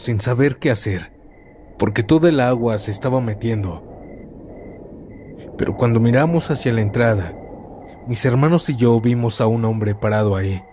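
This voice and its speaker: A man reading first-person horror stories aloud as a scripted narration, in a calm, steady storytelling manner. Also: fairly low pitch, a little hiss or hum.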